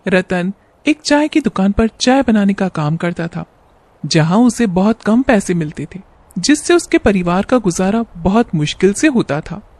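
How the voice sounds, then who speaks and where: A woman's voice: medium pitch, sing-song, a little slow, darkish tone, no room sound. A woman narrating a scripted moral story as a storyteller.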